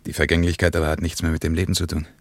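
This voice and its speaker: Gravelly, deep, musing traveller. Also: male voice, little pitch movement, rapid-fire, no echo. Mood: earnest, musing. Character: reflective German traveller, war veteran, earnest and musing. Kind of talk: radio play dialogue.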